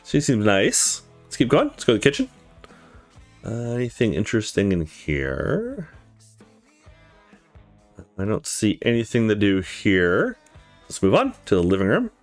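Man, casual let's play host with very lively pitch, thinking aloud, guitar music behind. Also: unhurried, pauses often. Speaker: gaming video host. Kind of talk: let's play commentary, reading game dialogue aloud.

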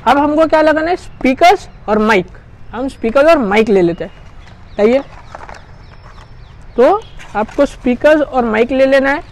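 A woman's voice: high voice, animated, normal speed, pauses often, darkish tone. A woman casually walking through a hands-on product installation, chatting as she works step by step. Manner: chatty, explains hands-on while working. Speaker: gear reviewer.

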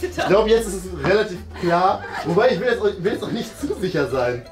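A young man hosting a game in casual, playful German chat, animated and thinking aloud. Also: high voice, quick pace. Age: young adult. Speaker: host and guesser in a group guessing game. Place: bright indoor studio room.

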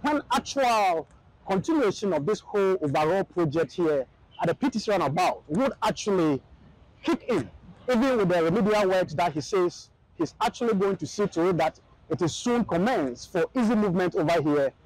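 Animated, high-voiced Ghanaian male reporter. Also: normal speed, no room sound, poor audio. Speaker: news correspondent. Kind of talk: piece to camera. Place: outdoor construction site. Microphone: handheld reporter mic.

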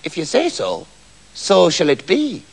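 A man voicing a mysterious, dirty little man from a fairy tale, courteous and measured. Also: sits high, sing-song, vocal fry, slightly rough, heavily acted. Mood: ominous.

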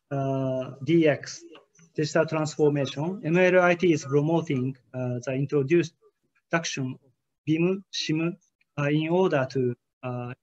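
Guy giving a keynote on a webcam call, slightly high voice, lots of uh pauses, audio a bit muffled. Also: a little mellow. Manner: hesitant, frequent "uh" fillers, careful formal phrasing. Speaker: keynote speaker. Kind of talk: conference keynote presentation over slides. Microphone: webcam on a video call.

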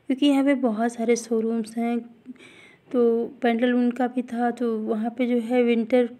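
Mellow, high-voiced woman vlogger. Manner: chatty, repetitive, addresses viewers directly. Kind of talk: casual vlog voice-over narration.

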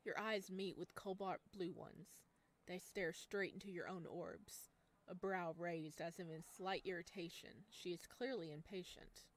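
A woman's voice: fairly low pitch, unhurried. A woman streaming a game, reading its story text aloud and adding casual commentary. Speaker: streamer playing a visual novel. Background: game music.

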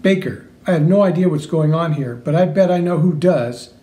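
A man's voice: on the high side, moderate pace, mellow. A man giving a theatrical read-aloud of comic book dialogue, acting out the parts. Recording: noticeable background noise.

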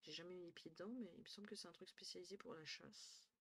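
A young woman streaming from a small room at home, talking into her headset. She is tired and chatty, thinks out loud, swears lightly and often trails off into low murmurs. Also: quick pace, slightly rough. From northern France. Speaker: Twitch streamer. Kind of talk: casual live-stream chat with viewers.

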